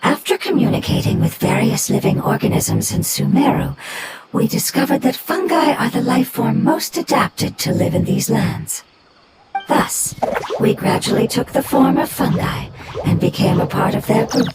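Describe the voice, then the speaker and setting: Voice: medium pitch, sing-song. A whispery ancient fungus spirit. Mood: serene. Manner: breathy, whispery and slow. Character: ancient fungus-like nature being, calm and caring. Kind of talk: video game voice acting. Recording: game audio over loud music.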